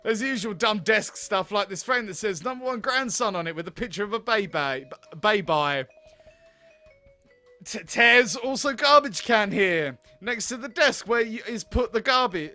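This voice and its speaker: High, lively man reading a game aloud. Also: normal speed. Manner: theatrical, giggly, profane asides. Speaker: gaming video host. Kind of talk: playful read-aloud commentary of a visual novel. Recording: music behind the voice.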